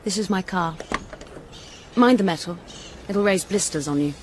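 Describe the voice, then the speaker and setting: Casting: actress as bold young English socialite, low register, brisk and teasing, radio drama. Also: female voice, background noise, natural voice. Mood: brisk, confiding.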